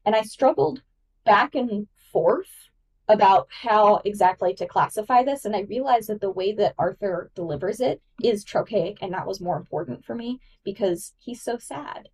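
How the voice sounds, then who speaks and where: A woman's voice: medium pitch, normal speed, husky, distant mic, rough recording. A woman giving enthusiastic, emphatic literary analysis as a podcast guest, casual and nerdy in her delivery.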